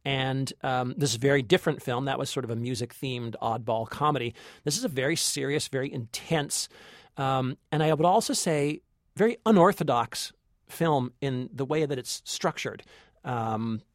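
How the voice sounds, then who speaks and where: A man's voice: medium pitch, moderate pace, dry recording, studio quality. A man co-hosting a podcast, reviewing films conversationally in a thoughtful way, often repeating phrases as he feels out his point. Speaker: podcast co-host and film critic.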